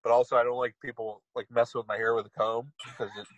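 A man's voice: medium pitch, level tone, fast, a bit bright, no echo, highs cut off. A man in his thirties bantering and rambling jokingly on a remote call. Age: 30s. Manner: joking, rambling, playfully boastful. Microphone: remote call, signal cutting out.

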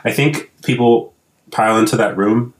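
A man's voice: mid-range voice, normal speed. A man in his twenties co-hosting a podcast, chatting casually in a candid, joking, profane way. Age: 20s. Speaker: podcast co-host.

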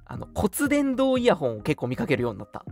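A man's voice: fairly high pitch, fast, creaky. A young adult man co-hosting a radio show in casual chat, an agreeable listener who often chimes in. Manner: animated, agreeable listener with repeated backchannels. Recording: background music behind the talk.

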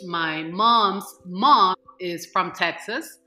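Slow-talking bright-voiced woman, echoey room. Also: on the low side, faint music behind. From Colombia. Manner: halting, with many restarts and fillers. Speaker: guest, manifestation coach. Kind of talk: casual interview talk.

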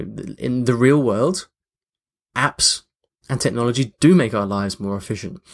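Male podcast host, casual solo talk, mid-range voice, reflective with emphatic points. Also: mid-range voice. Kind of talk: casual chatty monologue to listeners.

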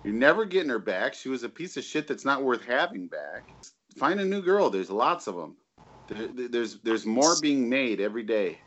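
A man's voice: medium pitch, normal speed, dull audio. A man who is a guest on a podcast, talking bluntly and crudely in casual banter. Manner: blunt, profane, animated.

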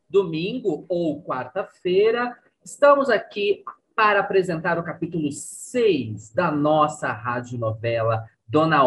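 Woman, Brazilian accent, show host, lowish husky voice, upbeat presenting.

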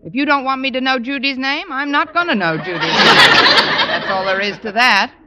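An actress playing a witty, wisecracking high school teacher with a dry delivery, in a 1940s radio sitcom. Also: female voice, very animated, moderate pace, sharp tone, some chatter behind, full character voice. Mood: wry. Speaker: lead and narrator. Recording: noisy old radio broadcast.

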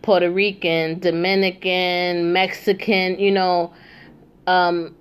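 A woman's voice: lowish voice, little pitch movement, slow, clean tone. A young woman hosting a casual chat, talking loosely with many asides and fillers. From New York. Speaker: host.

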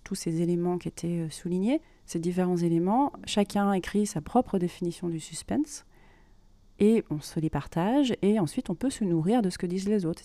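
Mellow low-voiced woman podcaster. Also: dry recording. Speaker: podcast host and creative writing workshop leader. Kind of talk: informal explanatory podcast monologue.

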